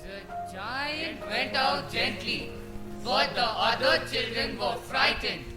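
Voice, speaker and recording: A man's voice: very high-pitched, lively intonation, takes it slowly. A male narrator telling a storybook tale in a theatrical style. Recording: roomy stage sound under loud music.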